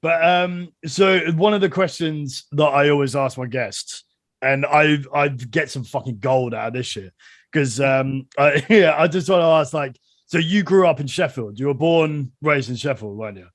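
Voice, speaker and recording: An animated man hosts a podcast, spinning old tour stories with plenty of swearing and laughter. His voice sits a little high for a man, recorded dry and close with no room sound. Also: normal speed, no room sound. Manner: animated storyteller, heavy swearing, laughs a lot. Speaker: podcast host. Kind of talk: casual chat.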